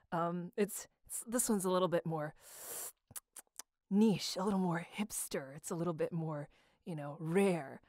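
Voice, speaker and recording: A woman's voice: lowish voice, no room sound, clean studio sound. A young woman telling stories in a casual, slangy, irreverent way, rambling as she goes. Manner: slangy, irreverent, animated retelling with acted quotes. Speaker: amateur voice actor answering a question.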